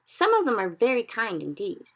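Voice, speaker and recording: A woman putting on a spirited, shrill young girl. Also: huge pitch swings, moderate pace, exaggerated voice. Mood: angry. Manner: high, loud, emphatic outbursts. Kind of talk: storybook reading.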